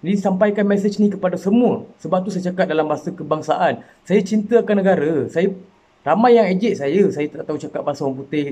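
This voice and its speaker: A man's voice: sits high, mellow, breathy edge. A man from Malaysia making an earnest, impassioned personal appeal, repeating himself and rising into emotional pleas. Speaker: citizen speaking out.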